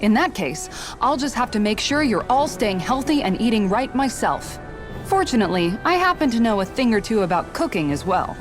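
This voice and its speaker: A woman's voice: on the high side, very animated, normal speed. A woman playing a dutiful, composed young former palace guard in a video game, speaking earnestly and considerately. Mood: caring, firm. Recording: music underneath. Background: background music.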